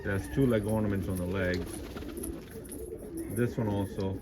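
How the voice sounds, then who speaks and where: Rambling man over loud pigeon noise. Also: fairly low pitch, steady tone, unhurried. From India. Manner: rambling, many fillers and restarts. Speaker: pigeon keeper showing his birds. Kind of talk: casual vlog walkthrough. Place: outdoor backyard pigeon loft. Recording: loud bird noise outdoors.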